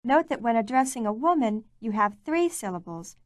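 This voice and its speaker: Clear, measured woman narrating a language course. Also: on the high side, animated, dry recording, clean studio sound. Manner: clear, measured, prompting the learner. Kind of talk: scripted instructional narration.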